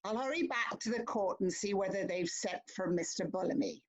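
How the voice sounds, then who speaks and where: A woman with an English accent playing a brisk, consoling male court clerk in a theatrical farce, deferential and chivvying. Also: mid-range voice, natural voice.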